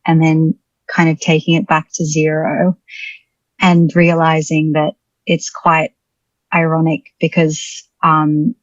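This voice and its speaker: A woman tells her own story in a reflective, searching way, pausing on ums and restarting her sentences. Her voice sits a little low. The recording is dry and somewhat dull. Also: monotone, no echo. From Australia. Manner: thoughtful, many fillers and restarts. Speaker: podcast guest.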